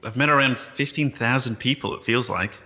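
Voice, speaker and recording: A man's voice: medium pitch, normal speed, pushed and bright. An actor playing a wry, modest man revived from cryostasis, speaking in a reflective, conversational way in a sci-fi audio drama. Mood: easygoing, wry. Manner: self-deprecating, reflective, conversational. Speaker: interviewee at a press appearance. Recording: long hall reverb, music behind.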